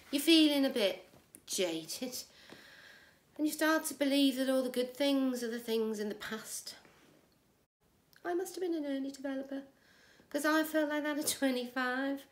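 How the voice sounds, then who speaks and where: A woman acting a jaded middle-aged housewife in a wry, confiding, gossipy comic monologue. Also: high voice. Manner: wry, confiding, gossipy, with rhetorical tag questions. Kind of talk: conversational comic stage monologue. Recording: echoey room.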